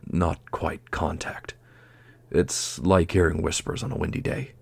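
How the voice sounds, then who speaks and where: Man acting as a solemn, gravelly, low-voiced fantasy guardian. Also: little pitch movement, unhurried, a little mellow, heavily acted. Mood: grave, formal. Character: solemn male guardian of mystical obelisks, deferential and grave. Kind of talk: fantasy audio roleplay acting.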